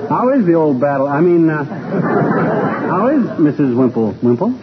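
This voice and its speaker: An actor playing a stubborn, bungling husband in a 1940s radio comedy, with folksy, wisecracking talk. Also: male voice, a little high, lively intonation, a little slow, over a noisy crowd. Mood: folksy, wry. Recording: dull, very noisy old radio broadcast.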